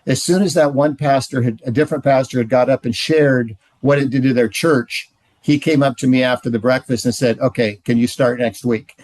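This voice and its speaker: Relaxed man telling stories, dry sound. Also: mid-range voice, a little fast, no echo, rough recording. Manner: relaxed storytelling, many "uh"s. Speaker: ministry trainer. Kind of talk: casual training talk.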